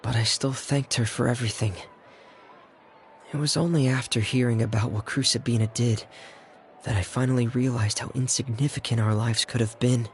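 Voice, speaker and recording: A man playing a solemn, loyal young man in a video game, speaking in a measured, earnest way. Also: mid-range voice, fairly even intonation, slight background noise, natural voice. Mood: somber, bitter. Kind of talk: dramatic video game dialogue. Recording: music behind the voice.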